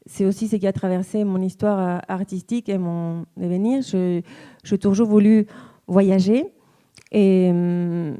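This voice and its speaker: Argentine lady tango teacher, super dark warm voice, talks slow and searching, picks her words. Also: mid-range voice, steady tone. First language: Spanish. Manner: thoughtful, halting, searching for words. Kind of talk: personal reflective talk.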